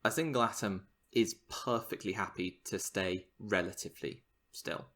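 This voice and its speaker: A man's voice: lowish voice, normal speed, a bit bright. A man co-hosting a podcast, explaining science news in a casual, enthusiastic way. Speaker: podcast co-host.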